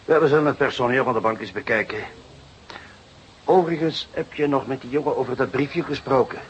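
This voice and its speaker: A man acting a calm, thoughtful senior police detective in a radio play, speaking in a measured, dry way. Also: mid-range voice, natural voice. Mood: pondering. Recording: dull lo-fi sound, faint noise.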